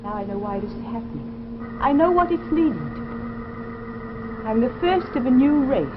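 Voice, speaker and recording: A woman's voice: high-pitched, very animated, normal speed. An actress playing a cold, imperious woman who is evolving beyond humanity, in a 1950s radio drama. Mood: awed, intense. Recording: muffled, very noisy vintage radio recording.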